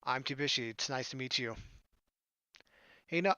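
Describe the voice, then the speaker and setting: A man's voice: mid-range voice, moderate pace, pushed and bright, dry recording. A man hosting a visual novel playthrough, reading text aloud and adding casual, joking asides. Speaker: gaming video host.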